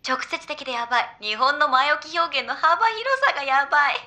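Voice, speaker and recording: An excitable young female idol in an anime audio drama. Her high, bright voice moves freely up and down. She speaks in girlish slang, reacting to whatever her friend says. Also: moderate pace, heavily acted. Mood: nervous, gossipy. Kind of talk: Japanese anime audio drama, naturalistic banter.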